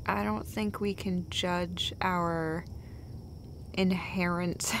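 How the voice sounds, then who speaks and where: A woman's voice: fairly low pitch, steady tone, fairly bright tone, slight background noise, heavily acted. A woman playing a tender, foul-mouthed girlfriend who comforts her partner in an intimate, conversational roleplay, rambling and hesitating as she goes. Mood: soft, vulnerable. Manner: halting, hesitant, rambling, sweary. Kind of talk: intimate roleplay audio monologue, casual and conversational.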